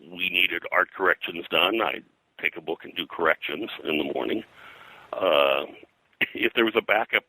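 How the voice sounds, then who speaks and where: An older man reminiscing as an interview guest, casually rambling and halting, with false starts and repeated phrases. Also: sits low, sharp tone, no echo, rough recording. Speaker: interview guest, veteran comics production artist.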